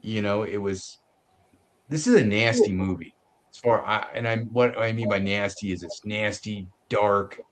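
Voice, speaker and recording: A man giving a casual movie review as a show host, haltingly searching for his words. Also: lowish voice, a little hiss or hum.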